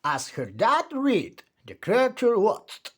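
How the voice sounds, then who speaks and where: A man reading a children's picture book aloud, with theatrical delivery and drawn-out emphasis on key words. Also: high voice, huge pitch swings, a bit bright. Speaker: children's storytime reader.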